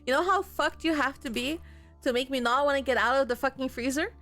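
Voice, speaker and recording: A woman giving expressive, sweary commentary as she plays a horror game, reading some of the text aloud. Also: sits high, fairly quick, faint music behind. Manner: expressive, sweary, reacting out loud. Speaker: video game streamer.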